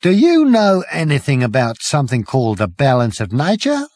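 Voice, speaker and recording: A man's voice: fairly high pitch, wildly swooping pitch, moderate pace, darkish tone, no echo, studio quality. A man voicing a bewildered, mild-mannered young cricketer in an audiobook, hesitant, apologetic and mumbling. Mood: uneasy, apologetic. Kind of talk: audiobook character voice.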